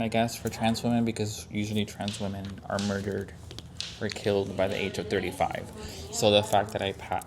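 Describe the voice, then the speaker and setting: A woman in her forties vlogging, talking in a reflective, earnest and chatty way. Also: rumbling low. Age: 40s. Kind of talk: casual vlog talk.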